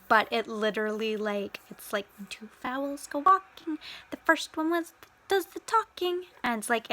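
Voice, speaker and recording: A woman's voice: medium pitch, sharp tone. A young Canadian woman rambling casually as she answers viewer questions, swearing freely and trailing off into whispers. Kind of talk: casual chat.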